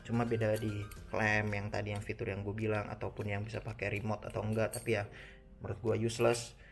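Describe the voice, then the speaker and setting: A man's voice: on the low side, completely flat delivery, a little fast, gravelly. A man with a Jakarta Indonesian accent giving an informal, conversational product review, explaining things casually to viewers. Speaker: motorcycle gear reviewer. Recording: music behind the voice. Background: background music.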